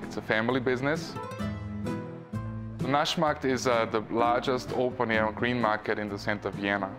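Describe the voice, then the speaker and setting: A man in his thirties from Austria, with an Austrian German accent, talking calmly about his restaurant with small pauses. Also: mid-range voice. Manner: calm, measured, pauses with "uh". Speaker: restaurant owner. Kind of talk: interview to camera. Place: empty restaurant dining room. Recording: music bed underneath.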